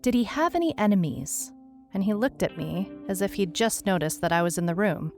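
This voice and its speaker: A woman playing a wry, world-weary private detective, narrating her case log in a dry, deadpan noir style. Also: medium pitch, a little fast, faint music behind, lightly acted. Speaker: narrator, lead actor.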